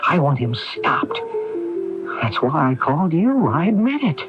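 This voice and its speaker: A man's voice: on the high side, sing-song, measured pace. An actor playing a resentful, weak-willed businessman in a radio mystery drama, agitated, emotional and hesitant. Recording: dull 1980s AM radio recording, music behind.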